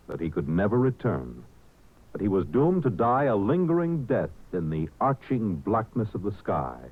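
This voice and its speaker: A man's voice: fairly low pitch, husky. A man announcing from a script in dramatic 1950s radio style. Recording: narrow-band vintage radio recording.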